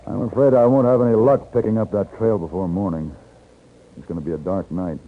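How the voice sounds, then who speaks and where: Man playing cool dry gunslinger, american accent, low voice, old 50s radio western, thin tinny sound. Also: steady tone, slight background noise, lightly acted. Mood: dry, unruffled. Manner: measured, dry, unruffled. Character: calm, dry-witted traveling gunfighter on horseback. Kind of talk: 1950s Western radio acting with first-person narration. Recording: tinny 1950s radio recording with music.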